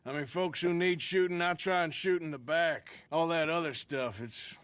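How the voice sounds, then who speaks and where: A man playing a gruff, world-weary American outlaw gunman in a western video game, talking in a dry, sardonic, terse way. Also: a little high, normal speed, a bit bright, no room sound, close to an everyday voice. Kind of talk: video game dramatic acting.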